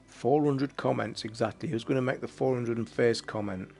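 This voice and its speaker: A man hosting a casual late-night livestream from his flat, talking in a rambling, blunt way and swearing freely. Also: medium pitch, moderate pace, gravelly, soft background music. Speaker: livestream host. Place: home flat.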